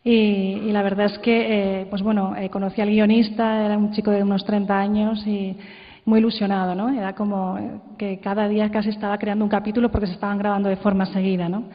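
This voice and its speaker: Female conference presenter, informal and conversational, mid-pitched, slightly muffled in a big hall. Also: level tone, quick pace. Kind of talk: informal talk presenting audio clips. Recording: echoey hall, muffled sound.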